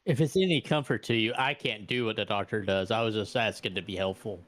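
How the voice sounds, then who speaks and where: A man's voice: on the low side, fairly quick, a bit bright, no room sound. A man playing a tabletop role-playing game, improvising conversationally and reasoning things out aloud. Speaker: tabletop role-play player.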